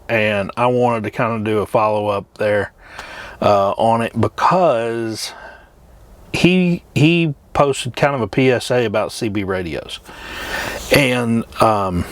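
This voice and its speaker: A middle-aged man chatting casually as a trucker vlogger, rambling and reminiscing with frequent hesitant pauses. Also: on the low side, a bit bright. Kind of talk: casual rambling chat to camera.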